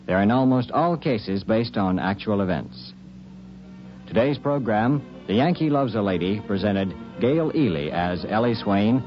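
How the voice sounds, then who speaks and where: Formal male credits announcer, muffled radio sound. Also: on the low side. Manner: formal, orderly reading. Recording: muffled 1950s radio recording. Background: faint music.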